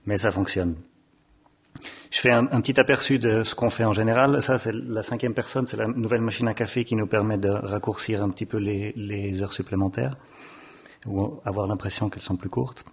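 Hesitant man lecturing, low husky voice. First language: French. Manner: hesitant, often searching for words with many fillers. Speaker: graphic designer giving a lecture. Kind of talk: conference talk. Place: stage of a lecture hall, seated at a desk.

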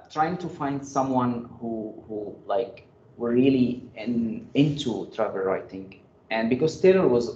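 A man fielding questions after a research talk, pausing often and restarting his sentences. The sound is roomy and a bit dull, as if captured through a lecture hall setup. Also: mid-range voice, a little mellow. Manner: hesitant, many fillers and restarts. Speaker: graduate student presenter. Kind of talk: answering audience questions. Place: lecture room. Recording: echoey, dull room sound.

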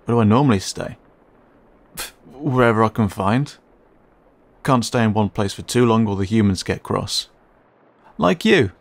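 A man voicing a cheeky, skittish young raccoon boy in an audio roleplay, muttering and grumbling with halting, sarcastic protests. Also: lowish voice, animated, vocal fry. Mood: cheeky, defensive.

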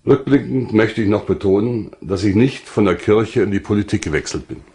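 An older man narrating his own life story from a script in a measured, formal way. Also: fairly low pitch, darkish tone.

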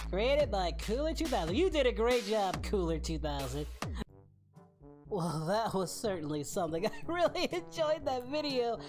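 A man playing an excitable, lecherous, cheerful anime warrior in a parody, hosting a casual reaction video in character. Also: high voice. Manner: excitable, bawdy, exclaiming.

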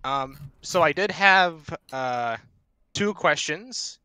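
A man's voice: a little high, expressive, slow pace, sharp tone, smooth, no room sound. A man chatting casually in an online panel, rambling and joking at his own expense as he asks a question. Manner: rambling, jokey, self-deprecating. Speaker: audience member asking a question. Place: remote voice chat.

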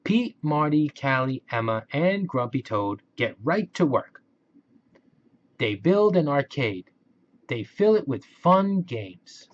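A man reading a children's story aloud in a simple, expressive way, on a slightly dull home recording. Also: on the high side, slow pace. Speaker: picture book reader.

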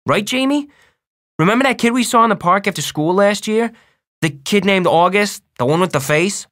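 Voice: mid-range voice, lively intonation, normal speed, a bit bright, pitched above the performer's own voice, close to an everyday voice. A narrator playing a blunt, defensive schoolboy with casual, emphatic kid talk in an audiobook. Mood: prodding. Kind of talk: audiobook first-person character voice.

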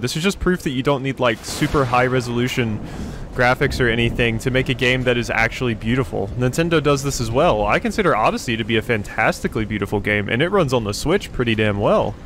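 A man's voice: mid-range voice, animated, quick pace, a bit bright, slight background noise. A man giving casual let's play commentary, thinking aloud as he goes. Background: game ambience.

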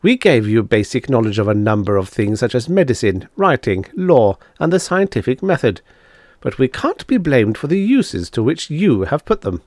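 A man's voice: mid-range voice, sing-song, normal speed, a little mellow, no echo, clean studio sound, voice pushed up, lightly acted. A man voicing a proud, suave devil in an audiobook, lecturing in a matter-of-fact, condescending way as he bargains. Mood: condescending, reasoning. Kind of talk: audiobook character dialogue.

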